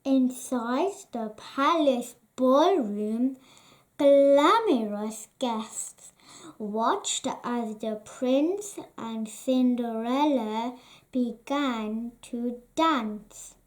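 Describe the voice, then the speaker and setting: A woman reads a bedtime storybook aloud in a high, bright voice. Her pitch swoops up and down in a sing-song way, and she takes it very slowly, lingering on each phrase. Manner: slow, sing-song, expressive reading. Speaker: storybook reader.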